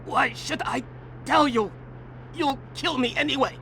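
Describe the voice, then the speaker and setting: A contemptuous game villain colonel, pitched very high for a male. The tone is sharp and forceful, clipped with bitterness and command. Faint noise runs underneath. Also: measured pace, exaggerated voice. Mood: bitter, defiant. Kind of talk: video game voice acting.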